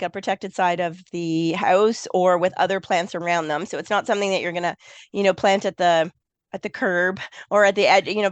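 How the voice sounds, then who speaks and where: A woman with a froggy, hoarse voice from a cold, hosting a casual gardening podcast and thinking aloud as she talks. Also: medium pitch, dry recording. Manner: chatty, thinks aloud with restarts. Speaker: podcast host, garden designer.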